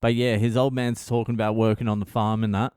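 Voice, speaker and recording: Crude, swearing comedian telling stories. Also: male voice, fairly low pitch, little pitch movement, fast, darkish tone, clean tone, dry recording, studio quality. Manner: crude, profane, laughing asides. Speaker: podcast host, comedian. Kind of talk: comic storytelling monologue.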